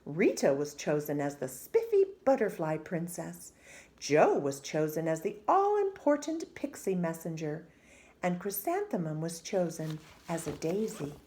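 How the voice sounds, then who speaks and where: Expressive woman reading a storybook. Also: lowish voice, measured pace. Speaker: storybook reader. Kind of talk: expressive read-aloud narration for children.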